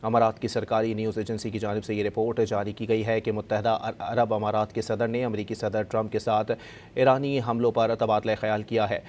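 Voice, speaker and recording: Flat-pitched Pakistani male news anchor. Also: a little fast, a little hiss or hum. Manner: formal, insistent newsreader delivery. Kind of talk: breaking news bulletin in Urdu, repeated formally.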